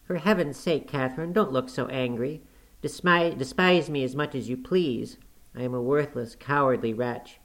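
A man's voice: high voice, fairly even intonation, brisk, gravelly, hardly any pauses, pitched above the performer's own voice. A man voicing a frightened, sobbing sickly youth. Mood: pleading. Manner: tearful, imploring. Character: sickly, frightened young man, pleading and cowering.

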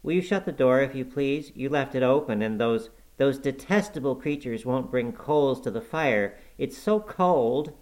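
A man's voice: medium pitch, lively intonation, normal speed, few pauses, a little mellow, voice pushed up, close to an everyday voice. A man performs a peevish, ailing boy in an audiobook. The voice is lifted well above a man's normal talk, with a fretful, self-pitying whine. He sounds spoiled and forever complaining. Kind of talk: audiobook character acting.

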